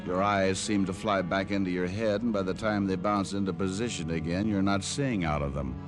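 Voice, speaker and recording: An actor playing a cool, wisecracking American private detective in an old radio drama, wry and flippant. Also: male voice, deep voice, brisk, natural voice. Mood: wry narration. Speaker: lead actor and narrator of a detective series. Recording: old radio recording with music behind.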